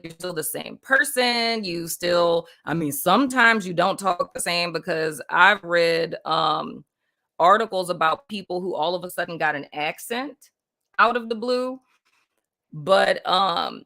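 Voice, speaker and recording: A woman's voice: lowish voice, bright, forceful tone. A woman from Puerto Rico hosting a casual spiritual live stream, rambling loosely with lots of "like" and "you know what I mean" and frequent laughter. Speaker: livestream host.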